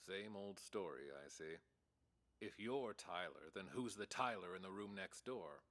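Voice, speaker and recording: A stern male police detective in an interactive audio drama. His voice is very deep, and he questions in a deliberate, skeptical, sardonic way. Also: lively intonation, fairly bright tone. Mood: skeptical, pressing.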